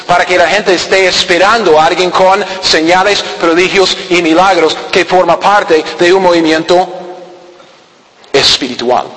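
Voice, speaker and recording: High-voiced preacher in an echoey hall. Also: male voice, a bit bright. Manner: earnest, questioning, with many restarts and fillers. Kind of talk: sermon. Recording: echoey hall with long reverb.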